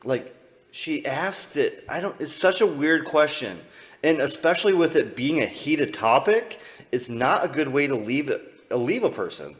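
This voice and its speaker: A man's voice: fairly high pitch, lively intonation, normal speed. A young man with a Midwestern American accent talks over old clips on his live stream. He rambles loosely, backtracks often and swears without a second thought. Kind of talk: casual live-stream commentary.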